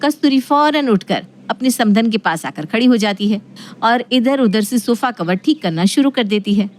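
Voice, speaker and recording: A woman's voice: on the high side, expressive, moderate pace, a little mellow. A woman narrating a scripted family story.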